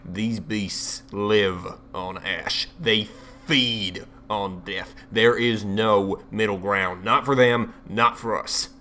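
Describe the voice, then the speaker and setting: A man's voice: fairly low pitch, steady tone, takes it slowly, few pauses, bright, forceful tone, slight background noise. A man playing a blunt, sardonic leader of dragon slayers in an audio drama. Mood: scornful, bitter. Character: gruff, defiant American man leading dragon slayers.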